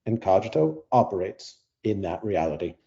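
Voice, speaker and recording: A man's voice: low-pitched, sing-song, moderate pace, gravelly, mellow, dull audio, heavily acted. An actor playing a cold, dismissive male technology advocate in an audio play, blunt and insistent. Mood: dismissive, certain. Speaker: debater.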